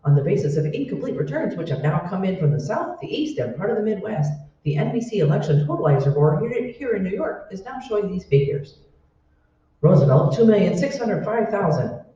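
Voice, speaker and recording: Old-time newsreader, acted by a man, declamatory, urgent, high voice, very echoey, distant mic. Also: big pitch swings, brisk, warm tone. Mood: urgent, formal. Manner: declamatory, formal. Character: urgent 1940s radio election news announcer. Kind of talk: 1940s radio newsreading. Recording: echoey hall, distant mic, dull sound.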